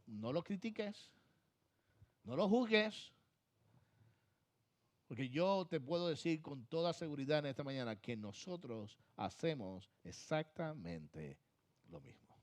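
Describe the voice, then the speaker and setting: A man with a Puerto Rican accent preaching a sermon as pastor, pushing phrases hard and then letting them fall soft. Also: medium pitch. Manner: emphatic preaching, swings from loud to soft trailing phrases.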